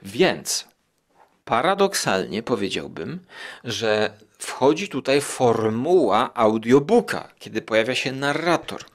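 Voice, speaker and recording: A man's voice: medium pitch, sing-song. Hesitant man musing as podcast host. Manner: halting, rambling, thinking aloud with drawn-out hesitations. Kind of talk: casual monologue review of radio plays.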